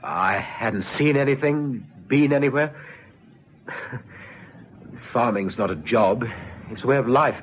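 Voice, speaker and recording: An actor playing a gentle young policeman in a vintage radio drama, soothing, patient and a little hesitant. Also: mid-range voice. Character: young policeman, calm, gentle, friendly negotiator. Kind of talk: 1970s radio drama acting. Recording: dull vintage radio recording with noise.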